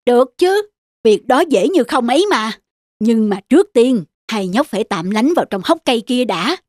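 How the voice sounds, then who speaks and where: An actor voicing a sly, greedy wildcat who smooth-talks prey in an animated fable. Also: mid-range voice, normal speed, dry recording, studio quality, natural voice. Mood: coaxing. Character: sly, greedy wildcat predator posing as helper. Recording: children's music behind the voice.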